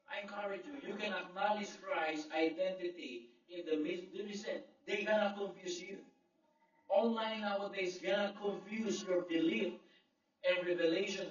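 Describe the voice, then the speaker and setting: A male pastor from the Philippines, a native Filipino speaker, preaching a sermon with call-and-response amens and emphatic Bible quotes. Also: high voice. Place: large echoey church hall. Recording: echoey, distant, dull lo-fi sound.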